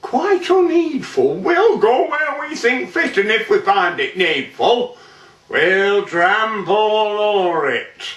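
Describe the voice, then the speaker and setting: A man's voice: high voice, animated, slow pace, fairly bright tone, highs cut off, pitched above the performer's own voice, heavily acted. A man voicing a swanky, pompous Victorian army sergeant in comic dialect verse. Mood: haughty. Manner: pompous, haughty. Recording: roomy, distant microphone.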